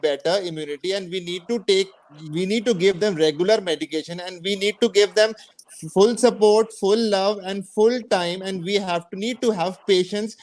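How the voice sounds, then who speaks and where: A man speaks as a panelist in a high voice. He talks haltingly, restarts often and politely calls the host sir. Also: darkish tone, poor audio. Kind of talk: online panel discussion.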